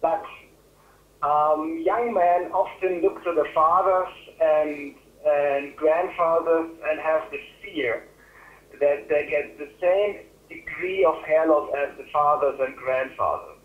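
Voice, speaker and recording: A middle-aged man with a German accent answering medical questions as a doctor, in a halting way that repeats and rephrases words, over a phone line. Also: fairly high pitch, fairly even intonation. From Germany or German-speaking Europe. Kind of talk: medical Q&A on a webinar. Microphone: phone call. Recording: roomy, band-limited phone line.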